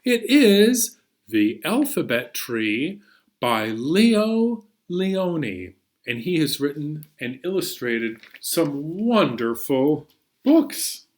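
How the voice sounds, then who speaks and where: A man's voice: fairly high pitch, very animated, extremely slow. An American man hosting a story time for young children, playful and exclaiming as he introduces songs and stories.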